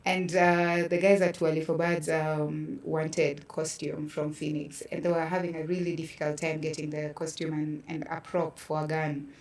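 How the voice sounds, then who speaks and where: A woman with a Kenyan accent telling stories casually as an interview guest, acting out remembered dialogue in an animated way. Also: low voice, moderate pace, hardly any pauses. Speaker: interview guest, theatre practitioner. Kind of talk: casual conversational storytelling. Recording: roomy echo, distant from mic.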